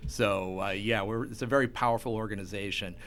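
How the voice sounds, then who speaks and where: A man's voice: lowish voice, steady tone, fairly quick, a bit bright. A man hosting a conversational interview in an encouraging, affirming way. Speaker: talk show host, real estate agent.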